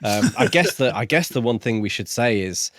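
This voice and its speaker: A man co-hosting a podcast, discussing books casually in a measured, orderly way. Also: medium pitch, lively intonation, fairly bright tone, no room sound, studio quality. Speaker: podcast co-host.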